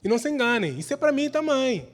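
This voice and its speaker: A man's voice: very high voice, sing-song, quick pace. A man preaching a church sermon, exhorting with repeated phrases and rhetorical questions. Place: large church hall during a service. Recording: reverberant hall sound.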